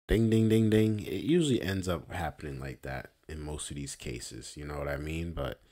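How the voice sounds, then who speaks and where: A young man giving casual reaction commentary on a news clip, slow and drawn-out, often ending lines with "man". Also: very deep voice, warm tone. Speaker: video commentator. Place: small room indoors.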